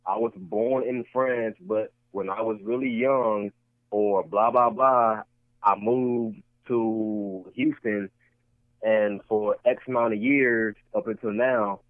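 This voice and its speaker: A man's voice: on the low side, steady tone, slow, no echo. Argumentative man co-hosting a podcast. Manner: repetitive, argumentative, restarts points. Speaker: podcast co-host. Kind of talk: casual debate chat. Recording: tinny lo-fi podcast audio.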